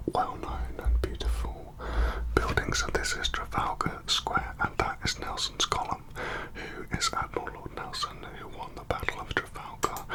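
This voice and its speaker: A man's voice: very high voice, rough recording. Whispering man giving an ASMR tour. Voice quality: soft breathy whisper. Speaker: ASMR video host and tour guide.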